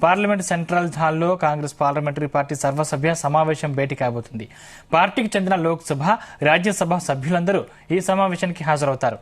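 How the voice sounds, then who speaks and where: A man's voice: a little high, brisk. A man reading scripted news as an anchor.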